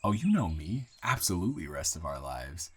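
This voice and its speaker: A man playing a nervous, smitten new guy in town in an improvised romantic audio roleplay, halting and playfully teasing. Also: low voice, very animated, fairly bright tone, husky, exaggerated voice. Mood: tender, content.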